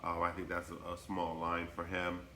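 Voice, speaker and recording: A man's voice: low-pitched, monotone, normal speed, sharp tone, roomy sound, a little hiss or hum. A man with a congested voice from a cold, co-hosting a sports podcast in a laid-back way full of fillers. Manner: laid-back, lots of "you know" and "um". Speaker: podcast co-host. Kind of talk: casual sports podcast chat.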